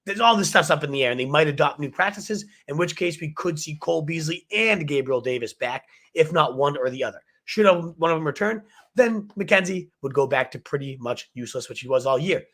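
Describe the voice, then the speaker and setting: A man hosts a fantasy football livestream, his voice a little hoarse from a cold. He talks with excited, rapid-fire hype and sits a touch above the usual pitch for a man. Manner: excited, fast-talking hype. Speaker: fantasy football show host. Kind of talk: energetic livestream sports advice.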